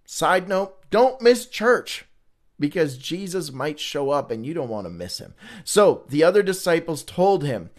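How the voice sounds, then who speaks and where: A man in his forties with a fairly high-pitched voice leads an informal Bible study. He reads and comments in a conversational way, often asking rhetorical questions and then answering them himself. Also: big pitch swings, measured pace. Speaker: Bible study host and teacher. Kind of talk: informal Bible read-along teaching with commentary.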